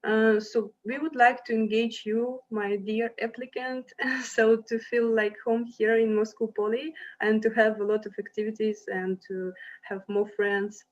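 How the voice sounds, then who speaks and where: A young woman from Ukraine gives an online slide presentation to prospective students, her fairly high voice stopping often for fillers and restarts. She sounds like she is speaking into a computer mic on a video call. The audio is dull and lo-fi. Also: little pitch movement, measured pace. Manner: hesitant, many fillers and restarts. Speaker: university representative presenting to applicants.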